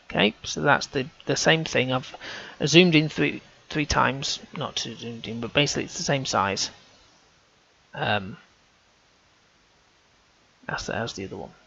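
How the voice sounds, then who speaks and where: A man's voice: medium pitch, unhurried, gravelly, pauses often, sharp tone, dull audio. A man talking through on-screen images, casual and hesitant, often restarting his sentences as he thinks aloud. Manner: hesitant, self-correcting, casual. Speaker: amateur researcher.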